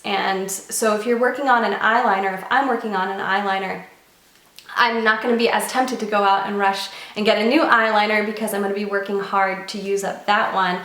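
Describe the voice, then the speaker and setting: A woman's voice: medium pitch, a bit bright, echoey room. A young woman vlogging casually to camera, nasal from a cold, chatty and earnest. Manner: chatty and earnest, with light laughs. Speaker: beauty vlogger.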